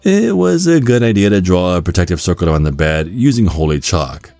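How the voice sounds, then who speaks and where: A man reading a scripted ASMR guide in a measured way, with wry asides. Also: low voice, darkish tone, no echo. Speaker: ASMR narrator and performer. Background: music.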